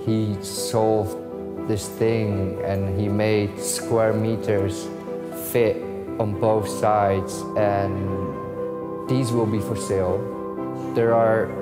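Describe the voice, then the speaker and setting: A man from the Netherlands walking through his new shop, telling its story with enthusiasm and acting out his phone calls with the artists. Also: on the low side. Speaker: store owner giving a tour. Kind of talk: casual walkthrough narration. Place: inside a large shop.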